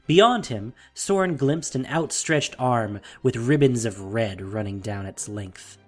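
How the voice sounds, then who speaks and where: A man narrating a scripted fantasy story in a measured, dramatic way. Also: medium pitch, soft background music.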